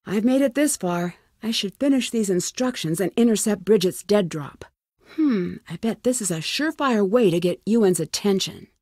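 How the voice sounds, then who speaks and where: A curious young American sleuth acted by a woman from an adventure game. She thinks aloud in short, wry, plucky remarks. The voice sits at a medium pitch and is recorded dry and close. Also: expressive, moderate pace, darkish tone, no room sound, lightly acted. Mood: determined. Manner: wry, self-talking, crisp short remarks. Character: curious, plucky young female amateur detective. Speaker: voice actor in a detective adventure game. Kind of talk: video game character lines.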